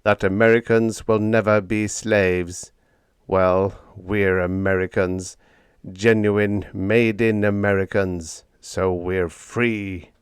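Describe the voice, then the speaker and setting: A man voicing a polite, solemn, smug android rebel in an audiobook, speaking in a measured way. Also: lowish voice, little pitch movement, clear, resonant tone, no echo, clean studio sound. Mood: polite, complacent. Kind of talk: audiobook character dialogue.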